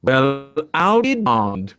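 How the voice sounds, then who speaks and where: A man's voice: medium pitch, huge pitch swings, very slow, fairly bright tone, exaggerated voice. A man reading the part of a puzzled, plain-spoken middle-aged policeman and father in a radio play, in a folksy manner. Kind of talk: live radio drama script reading.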